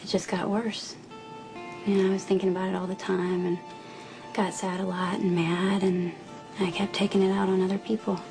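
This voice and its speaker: An actress playing a caring, candid aunt in a television soap drama, speaking in an earnest, gently persuasive way. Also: female voice, mid-range voice, level tone, normal speed, over music, lightly acted. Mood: gentle, confiding. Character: caring, candid aunt urging a child toward help. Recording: clearly noisy background ambience.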